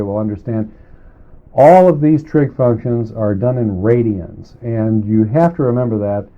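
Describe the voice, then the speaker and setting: A man working as an instructor, giving a deliberate, explanatory spoken tutorial and repeating phrases for emphasis. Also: lowish voice. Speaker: programming instructor. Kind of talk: semi-scripted spoken computer tutorial. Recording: muffled, narrowband old cassette tape.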